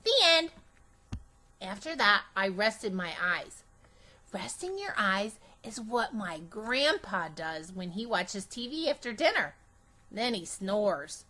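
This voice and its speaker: A woman voicing a chatty, imaginative, bossy little girl in an animated children's storybook read-aloud, with childish, emphatic phrasing. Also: medium pitch, takes it slowly, full character voice. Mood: chatty, earnest.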